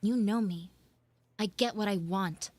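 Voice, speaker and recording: A woman live streaming an audio roleplay as a bossy, secretive tsundere schoolgirl, halting and teasing, slipping into hushed asides. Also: mid-range voice, no room sound. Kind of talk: live in-character audio roleplay with chat banter.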